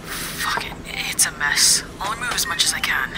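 An actress playing a brusque, exasperated military surgeon in a sci-fi audio drama, speaking in a curt, clinical way. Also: female voice, sits low, lively intonation, moderate pace, in a whisper, low-quality recording. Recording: loud music and effects behind.

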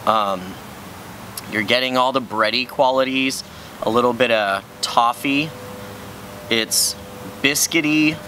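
A young man with a bright, mid-pitched voice reviews beers on an outdoor patio. He states his opinions plainly and without fuss. A faint hum of outdoor noise carries under him. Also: slow pace, long pauses. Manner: frank, matter-of-fact opinions. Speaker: beer review co-host. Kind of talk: casual beer tasting review.

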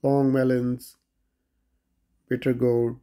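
A man's voice: medium pitch, level tone, takes it slowly, mellow. A man vlogging a walk through a large grocery store, plainly listing produce and prices. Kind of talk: grocery store walkthrough narration.

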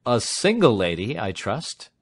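Man playing a dry lawyer with swooping pitch. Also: very animated, measured pace. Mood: probing. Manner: dry, shrewd, emphatic. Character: dry, shrewd, whimsical older male lawyer. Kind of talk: dramatised reading of classic fiction.

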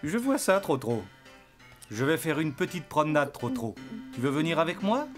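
A man voicing a calm, easygoing father donkey in a cartoon. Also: a little high, normal speed, soft background music.

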